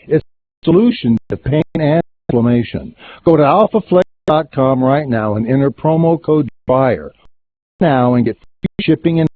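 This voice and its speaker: A male announcer with a husky, slightly high voice delivers a scripted radio ad. His pitch is emphatic, and the sound is thin and phone-like. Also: no echo. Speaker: advertisement announcer.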